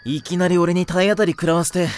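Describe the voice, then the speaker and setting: A woman's voice: on the low side, steady tone, fairly quick, a little mellow, soft background music, close to an everyday voice. A woman playing a kind, easily flustered teenage boy in an anime audio drama, with casual boyish speech. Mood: concerned, grateful.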